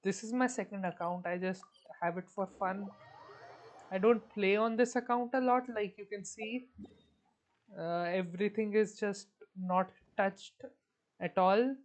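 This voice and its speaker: A woman's voice: mid-range voice, steady tone, measured pace, a little mellow. A woman chatting casually on a game livestream, thinking aloud in a hesitant way. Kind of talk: casual livestream chat while gaming.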